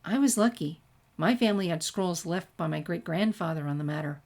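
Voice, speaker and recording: A woman's voice: on the low side, animated, fairly quick, darkish tone. A woman voicing a bold, confident young female ninja in a fan fiction reading, forthright and eager. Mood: proud, pleased. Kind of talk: fan fiction character dialogue.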